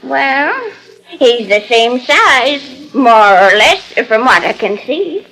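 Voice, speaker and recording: Bright, high-pitched old frontier woman. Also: expressive, slow, exaggerated voice, poor audio. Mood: uncertain, obliging. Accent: American. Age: older. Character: tough, folksy old frontier woman, easily flustered. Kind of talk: 1940s radio acting.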